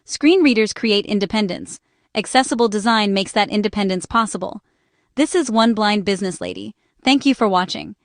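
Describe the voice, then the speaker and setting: A woman's voice: medium pitch, moderate pace, no room sound, clean studio sound. A woman reading a scripted explainer narration in short, instructional phrases. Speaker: narrator and small business owner explaining accessibility.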